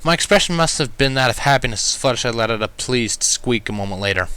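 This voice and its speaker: Casting: male storyteller, lively varied pitch, bright tone, expressive first-person narration. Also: fast talker, smooth, dry recording. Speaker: fan fiction reader.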